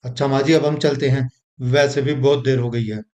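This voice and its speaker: A man's voice: medium pitch, steady tone, normal speed, warm tone, natural voice. A man voicing a selfish, scheming young husband in a moral story, dismissive and pushy. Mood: smoothly insistent. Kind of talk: animated moral story dialogue.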